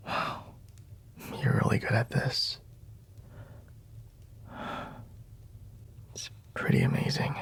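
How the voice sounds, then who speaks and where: Man playing a grudgingly soft mercenary bodyguard, ASMR roleplay, husky and breathy, low-pitched, halting delivery. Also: exaggerated voice. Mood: quiet awe. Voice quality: breathy, husky, gravelly near-whisper. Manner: hesitant, halting, grudgingly soft, frequent sighs. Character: gruff, flustered young male mercenary royal bodyguard, secretly tender. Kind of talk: intimate ASMR roleplay acting.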